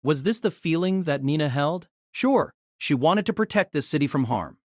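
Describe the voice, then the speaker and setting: Guy reading a novel out loud, animated, voice on the higher side, dry close mic. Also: normal speed, no room sound. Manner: expressive storytelling with shifting tone. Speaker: narrator.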